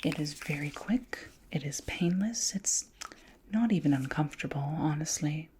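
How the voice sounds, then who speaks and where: A woman voicing a curious, flirty alien queen in a whispered ASMR roleplay, breathy and close to the microphone, with formal, musing speech. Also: deep voice, animated, background noise. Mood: soothing, clinical. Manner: slow, deliberate, formal wording with musing hums. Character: flirty alien queen, curious, doting, condescendingly fascinated by humans.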